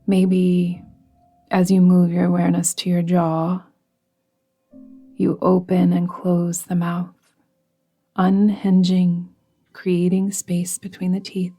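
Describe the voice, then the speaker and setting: A woman guiding a yoga nidra meditation in a soft, soothing, gently instructing manner, with breathy phrase endings. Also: fairly low pitch, completely flat delivery, slow pace, pauses often. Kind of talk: guided meditation. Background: music.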